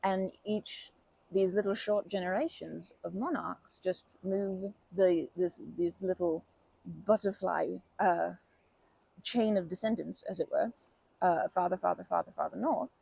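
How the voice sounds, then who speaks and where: A very creaky, soft-toned old grandma, acted by a woman in an ASMR comfort roleplay. She is kindly and chatty, with a breathy, mellow voice and a gentle habit of folksy rambling. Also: on the low side, measured pace, no echo, heavily acted, poor audio. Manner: gentle, rambling, folksy wording, soothing asides.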